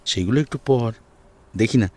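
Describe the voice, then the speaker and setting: A man's voice: medium pitch, moderate pace, darkish tone, husky, lightly acted. A man playing an eager, teasing, hot-headed young grandson in an audio story, insistent and emotional. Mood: playful, coaxing. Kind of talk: Bengali audio story acting.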